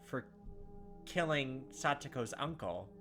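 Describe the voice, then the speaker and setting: A man with a bright, forward voice at an average pitch hosts a visual novel playthrough. He reads the narration aloud, then breaks off into animated, puzzled speculation, sometimes mimicking the characters. Faint music plays underneath. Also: slow. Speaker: let's-play host. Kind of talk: gameplay commentary mixed with reading visual novel text aloud. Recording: music playing behind the voice. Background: game background music.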